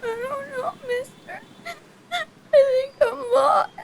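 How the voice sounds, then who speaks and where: A woman's voice: very high-pitched, slow pace, gravelly, a crowd in the background, full character voice. A woman playing an eerie little girl in a horror audio drama. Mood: frightened, tearful. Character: eerie ghostly little girl, lost, later cool and knowing.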